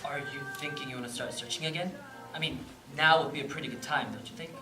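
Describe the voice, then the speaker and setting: A man's voice: medium pitch, steady tone, fast, over music, natural voice. A man acting a supportive, encouraging young companion in an amateur stage play. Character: supportive, encouraging male companion of a searching adoptee. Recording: echoey stage, distant mic, noisy.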